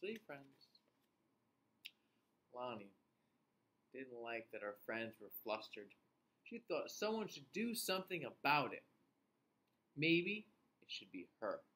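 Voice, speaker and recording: Very animated man reading to children. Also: medium pitch, slight background noise. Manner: expressive, asks questions and pauses as if children answer. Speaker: children's class host and teacher reading aloud. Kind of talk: animated picture-book read-aloud to young children. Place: small indoor room.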